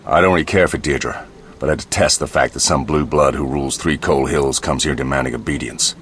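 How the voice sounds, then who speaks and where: A hotheaded monster hunter with an extremely deep voice. He is scornful and combative, always spoiling for a fight in this game performance, with faint noise behind him. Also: fairly quick, fairly bright tone. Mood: scornful, defiant. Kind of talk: video game voice acting.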